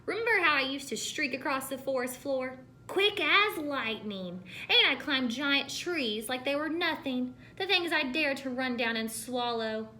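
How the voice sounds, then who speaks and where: A woman's voice: sits high, lively intonation, a little slow, few pauses, pushed and bright, slight background noise, pitched above the performer's own voice, full character voice. A woman voicing a boastful, nostalgic old male snake in a storybook, reminiscing with emphasis.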